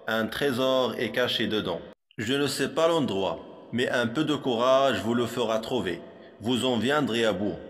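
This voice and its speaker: A man reciting poetry for a primary school lesson in a measured, didactic manner, with a long hall-like reverb on his voice. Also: mid-range voice.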